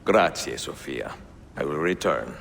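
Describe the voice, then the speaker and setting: An actor playing a courtly, gentle older assassin with an Italian accent, measured and gracious, in a video game cutscene. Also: male voice, a bass voice, lively intonation, gravelly, slight background noise, heavily acted. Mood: warm, admiring.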